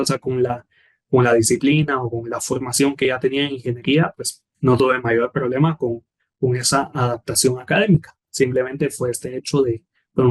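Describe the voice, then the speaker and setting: A young man with a Guatemalan accent speaks at a middle pitch, calm and unhurried. He often repeats words as he finds his footing. He closes his points with a small tag question. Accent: Guatemalan Spanish. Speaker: guest, former scholarship student. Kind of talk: relaxed interview answers.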